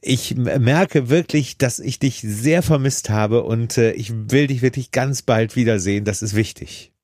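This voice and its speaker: A man's voice: mid-range voice, unhurried, a little mellow, no echo, clean studio sound. A male radio host interviewing a guest in a casual, friendly way, often answering with short agreements.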